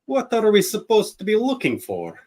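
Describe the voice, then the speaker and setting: A man playing an earnest, self-doubting gentle giant of a superhero in a radio play, with a hesitant manner. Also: high voice, wildly swooping pitch, moderate pace, exaggerated voice. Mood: unsure. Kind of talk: radio-play script reading.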